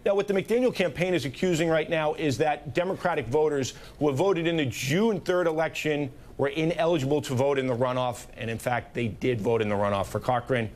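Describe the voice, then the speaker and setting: A man's voice: a little high, brisk, hardly any pauses. Male political analyst explaining live on news.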